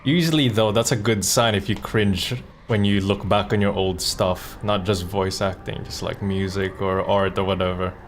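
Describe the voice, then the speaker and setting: A man's voice: sits low, steady tone, normal speed, few pauses, slight background noise. A man chatting casually on a live stream as host, slangy and wry, rambling from one thought to the next. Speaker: streamer and voice actor. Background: faint music.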